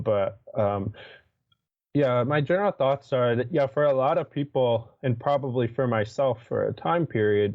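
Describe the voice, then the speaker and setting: A man appearing as a guest in a debate, thinking aloud hesitantly with many pauses and restarts. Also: medium pitch, muffled recording. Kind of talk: casual debate conversation.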